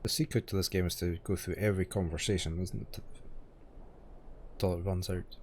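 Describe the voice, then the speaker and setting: A man streaming a game, chatting casually and musing aloud, his voice a bit croaky. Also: low voice, brisk, a little hiss or hum. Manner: relaxed, chuckling, musing aloud. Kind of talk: casual gameplay commentary. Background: game music.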